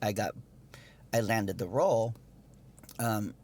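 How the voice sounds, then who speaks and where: Relaxed man from Hawaii telling stories. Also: lowish voice, takes it slowly, breathy edge. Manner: relaxed storytelling, frequent fillers and laughs. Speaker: dance teacher and guest. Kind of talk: casual interview chat.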